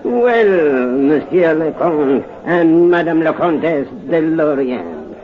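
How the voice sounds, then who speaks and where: A man's voice: a little high, big pitch swings, slow pace, a faint crowd. A man playing a cruel, mocking revolutionary tyrant in a 1930s radio drama, heard through a dull old recording. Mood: gloating. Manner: sneering, mocking.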